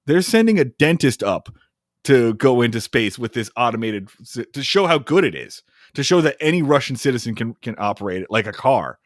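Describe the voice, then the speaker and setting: Podcast co-host, male, lively comic storyteller who slips into goofy voices; dry, close sound. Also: medium pitch, fairly quick, no echo. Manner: animated, acts out scenes in funny voices, swears. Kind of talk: casual chat recapping a film.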